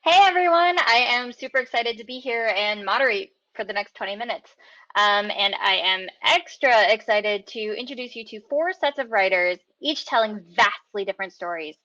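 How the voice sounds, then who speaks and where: A woman's voice: on the high side, moderate pace, sharp tone, no room sound. Woman, enthusiastic moderator, dry close sound, slightly lo-fi. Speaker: author and moderator. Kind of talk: presenting a panel.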